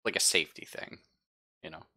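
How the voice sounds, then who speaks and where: A man's voice: brisk, pushed and bright. A man running a tabletop roleplaying game as game master, narrating casually with a jokey, sweary manner.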